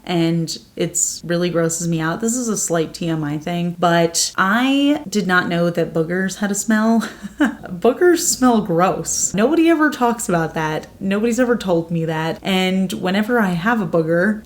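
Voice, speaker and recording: A young woman in her twenties with a New Jersey accent and a slightly nasal voice, chatting casually to camera as a vlogger, with frequent fillers and light laughs. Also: fairly low pitch.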